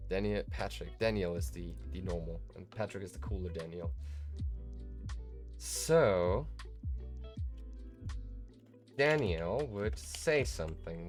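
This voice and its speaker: A tired man hosting a casual live tutorial, thinking aloud in a rambling, self-deprecating way. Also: low voice. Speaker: livestream host.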